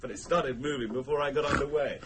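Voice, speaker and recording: A man's voice: mid-range voice, steady tone, fast talker, noisy background, lightly acted. A man acting in a radio drama as a glib, teasing husband who talks wryly and likes to reason aloud. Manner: wry, talkative, reasoning aloud.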